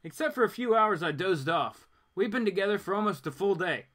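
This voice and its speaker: A man's voice: high-pitched, expressive, a little fast, a bit bright, pitched above the performer's own voice. A man voicing an inquisitive, brashly direct male superhero in a comic book reading. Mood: probing.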